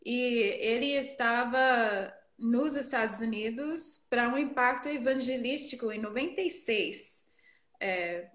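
A middle-aged woman from the northeastern United States, a native English speaker, telling her story reflectively and haltingly as an interview guest. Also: a little high, a bit bright, reverberant. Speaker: interview guest, worship leader. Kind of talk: conversational personal storytelling in an interview.

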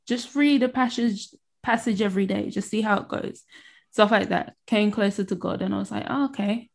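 A woman telling her faith story in casual conversation, often pausing and restarting her sentences. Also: medium pitch, creaky. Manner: halting, restarts, laughs while telling.

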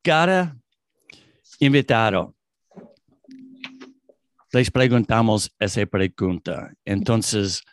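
Halting non-native Spanish-speaking man. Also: lowish voice, expressive, no echo, studio quality. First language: English. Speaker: podcast host, guest. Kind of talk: interview conversation.

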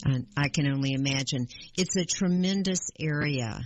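A woman hosting a gardening radio show, asking her guest questions in a friendly, halting way with many fillers. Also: deep voice, slight background noise. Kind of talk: radio interview.

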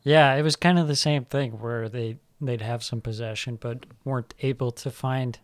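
A man with a mid-pitched voice, recorded dry and close. He chats about football in a relaxed, hedging way, often laughing and leaning on fillers as a podcast co-host. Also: normal speed, dry recording. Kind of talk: casual football podcast chat.

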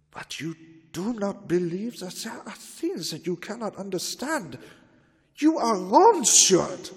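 A man voices a stern elderly doctor and vampire hunter in an old-time radio drama. The voice sits high and breathy, and the delivery is slow and grave. A long reverberant tail trails each line. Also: heavily acted. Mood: urging. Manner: grave, urgent, lecturing.

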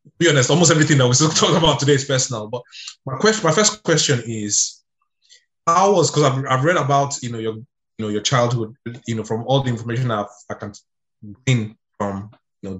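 A man's voice: medium pitch, a bit bright, reverberant, rough recording. A man co-hosting an interview, asking long, hesitant questions full of fillers. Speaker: interview co-host.

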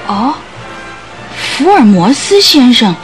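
An actress in a radio drama playing a troubled, secretive wife of a lion tamer, plaintive and full of sighs. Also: female voice, on the high side, sing-song, slow, faint music behind. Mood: pleading, secretive. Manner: plaintive, sighing.

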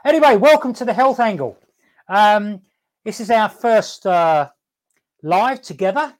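A man hosting a casual live stream, upbeat and laughing often, talking haltingly with many fillers and restarts and asking "okay?" to check in. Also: high-pitched, animated. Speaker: host.

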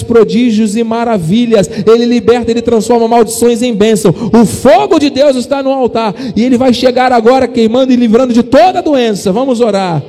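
High, mellow-voiced man exhorting in a reverberant hall. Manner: exhorting, declarative. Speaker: prayer meeting leader. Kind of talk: fervent charismatic prayer and responses. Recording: long reverberant hall sound.

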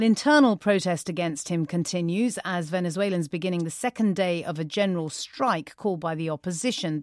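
Lady reading radio news, lowish voice, very dry sound, formal and measured. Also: a little mellow, no echo. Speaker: news presenter.

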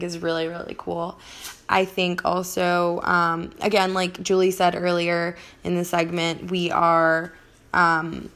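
A young woman from Colombia co-hosting a podcast, soft-spoken, with lots of hesitant "um"s. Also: lowish voice. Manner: soft-spoken, many ums, gentle laughs. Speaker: podcast co-host. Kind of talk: casual podcast chat.

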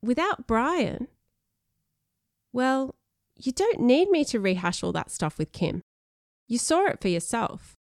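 A woman voicing a defensive, sharp-tongued schoolgirl in an audiobook story. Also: fairly high pitch, big pitch swings, slow pace, pauses often, dry recording, clean studio sound, pitched above the performer's own voice, exaggerated voice.

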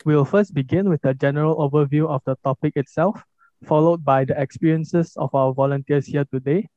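A man's voice: fairly high pitch, fairly even intonation, fairly quick, dark tone, dry recording. A young man hosting a podcast, giving formal, orderly introductions and questions. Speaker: podcast host. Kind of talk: scripted podcast moderating. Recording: dull lo-fi recording.

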